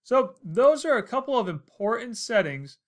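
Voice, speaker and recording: A man's voice: very high-pitched, sing-song, normal speed, a bit bright. An American man in his forties narrating a casual instructional screencast, explaining things step by step. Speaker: tutorial host.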